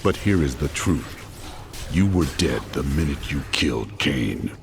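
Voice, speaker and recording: A grim holy warrior speaks with stern resolve. His voice is extremely deep and gravelly, with a solemn weight. A loud orchestral score plays behind him. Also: lively intonation, measured pace, music in the background, exaggerated voice. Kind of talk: game cutscene acting and narration.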